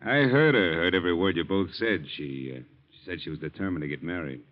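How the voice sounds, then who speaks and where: An actor playing a sly, menacing chauffeur turned blackmailer in an old-time radio drama, insinuating and coolly threatening in a slangy way. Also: male voice, low voice, expressive, fast talker. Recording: muffled vintage radio recording.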